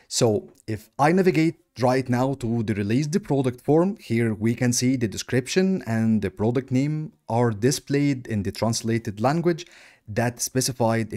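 A man whose first language is Arabic, narrating a step-by-step software tutorial in a steady, explanatory way. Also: mid-range voice. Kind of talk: screen-recorded software walkthrough.

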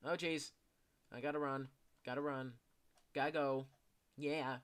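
A young man giving casual, excitable commentary while playing a video game, swearing often and yelling when chased. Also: medium pitch, unhurried, smooth. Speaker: gameplay commentator. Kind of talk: casual live gameplay commentary.

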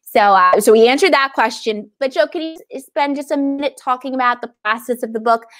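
A woman in her thirties with a slightly high voice hosts an online library chat. She is chatty and upbeat, talking casually through an earphone mic on a video call. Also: a little fast, fairly bright tone. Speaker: library event host. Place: indoor room, video call. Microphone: earphone headset on video call.

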